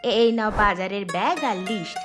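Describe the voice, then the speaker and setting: Casting: actress as sensible, directing village wife for a cartoon, medium pitch, clear plucked-string music behind. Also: female voice, brisk, close to an everyday voice. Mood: practical, instructing. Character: practical, instructing village housewife. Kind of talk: cartoon dialogue.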